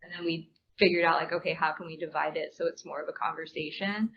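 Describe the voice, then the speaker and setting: A woman's voice: sits low, fairly even intonation, fast talker. A woman answering audience questions casually as a theater performer on a panel, chatty and informal, often saying "like". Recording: dull room recording with clear background noise.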